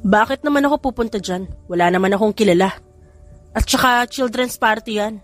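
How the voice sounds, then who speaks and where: A woman's voice: a little high, brisk, natural voice. A sulky grown man, voiced by a female narrator, stubborn and grumbling in an audiobook drama. Music runs underneath.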